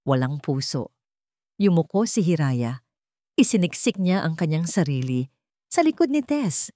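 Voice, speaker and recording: A woman narrates a scripted dramatic story in a fairly low voice. Her delivery is measured and suspenseful, with pauses placed for drama. The sound is dry, with no room around it. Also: darkish tone, no room sound.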